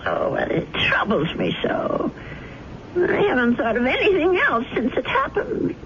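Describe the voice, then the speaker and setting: A woman's voice: sits high, wildly swooping pitch, unhurried, fairly bright tone, full character voice. An actress playing an elderly widowed landlady, troubled, hesitant and kindly, in an old radio drama. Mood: troubled, pleading. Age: older. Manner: hesitant, earnest. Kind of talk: 1970s radio drama acting. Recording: noisy, muffled old radio broadcast recording.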